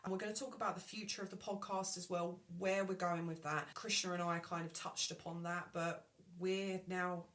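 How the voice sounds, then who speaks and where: A woman's voice: fairly low pitch, flat delivery, moderate pace, hardly any pauses, a bit bright. A woman hosting a college podcast in an upbeat, encouraging way. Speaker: podcast host.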